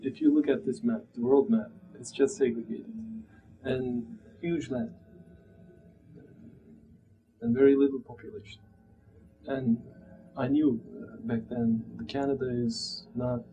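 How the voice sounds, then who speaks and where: A man in his thirties with a Turkish accent, speaking haltingly and searching for words as he reflects in an interview. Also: medium pitch, dark tone. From Turkey. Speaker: interviewee, immigrant telling personal story. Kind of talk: reflective personal interview testimony. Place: small plain room indoors. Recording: dull muffled sound, background room noise.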